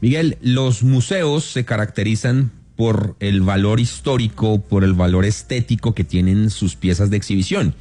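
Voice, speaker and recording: A man's voice: mid-range voice, warm tone. A man posing a prepared question as an interviewer.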